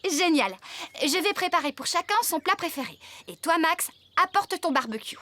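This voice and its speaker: Kind, high-voiced cartoon farmer woman. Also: lively intonation, normal speed. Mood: enthusiastic. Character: kind, responsible female farmer. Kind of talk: dubbed preschool cartoon acting. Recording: loud music underneath.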